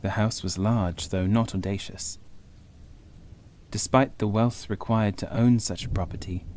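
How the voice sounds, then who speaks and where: A man reading a scripted first-person gothic horror story in a measured, literary manner. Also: lowish voice, steady tone, a little mellow. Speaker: audiobook narrator.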